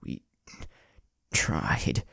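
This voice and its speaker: A man voicing a fierce but shaken woman ninja leader in terse, halting phrases. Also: pushed and bright, no echo, clean studio sound. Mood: weak, sorrowful.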